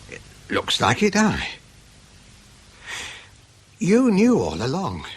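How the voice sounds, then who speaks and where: A man's voice: sits high, wildly swooping pitch, takes it slowly, breathy edge, heavily acted. An actor playing a blunt, suspicious middle-aged Lancashire man being interrogated in a radio drama, defensive and stroppy. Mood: grudging.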